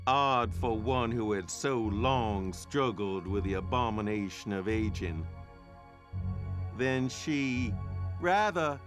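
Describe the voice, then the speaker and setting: A man narrates a spooky audio drama at an unhurried pace, relishing the gossip with a bitter edge. His pitch moves expressively, and soft music plays behind him. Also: expressive.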